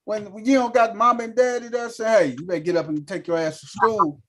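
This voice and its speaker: High-voiced man, animated and slangy. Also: fairly quick. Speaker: podcast co-host. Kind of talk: casual chat.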